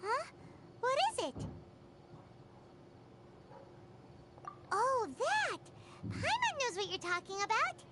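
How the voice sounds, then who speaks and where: A woman voicing a tiny childlike fairy companion in a video game, squeaky, earnest and exclamatory. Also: big pitch swings, measured pace, background noise, full character voice. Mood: alert. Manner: refers to herself in the third person, exclamatory.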